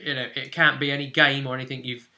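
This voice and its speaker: A man's voice: medium pitch, level tone, quick pace, sharp tone. A man hosting as game master, casually explaining a tabletop role-play session in loosely phrased speech with asides.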